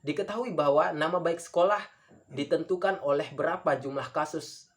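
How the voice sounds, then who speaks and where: A man gives a formal debate speech as a student speaker, earnest and halting, often repeating phrases for emphasis. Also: on the high side.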